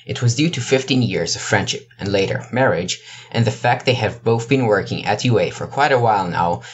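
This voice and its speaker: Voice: mid-range voice, a little fast, dull audio, a little hiss or hum. An amateur narrator reading a scripted piece of fiction. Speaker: narrator, amateur podfic reader.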